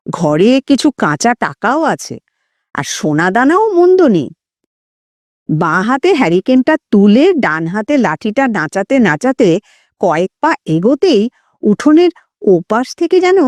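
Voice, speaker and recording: A woman reads a story aloud in a high, very animated voice. She takes her time between phrases, and the recording is close and dry. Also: dry recording. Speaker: narrator of audio stories. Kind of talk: expressive story reading.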